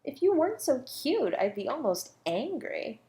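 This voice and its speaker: A woman's voice: sits high, big pitch swings, mellow. Woman acting a coy, condescending female murder suspect. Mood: amused, flirty. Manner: teasing, condescending, composed, deliberate. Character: coy, self-assured female academic, a murder suspect toying with detective. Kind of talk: flirty audio roleplay, one-sided dialogue.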